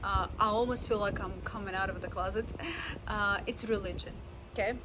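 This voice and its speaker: A woman in her thirties with a Russian accent speaks in a casual vlog. She is earnest and halting, pausing often on fillers. Her mid-range voice sits over clear background noise and passing vehicles. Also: mid-range voice, fairly even intonation. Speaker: vlogger. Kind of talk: casual talk to camera.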